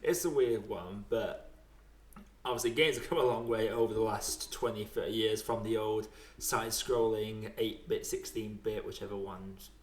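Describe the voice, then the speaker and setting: A man with a fairly low voice talks in a relaxed, colloquial podcast style. He is chatty and pokes fun at himself. The recording is noticeably echoey and roomy. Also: moderate pace. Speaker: podcast speaker. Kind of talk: casual chat about video games.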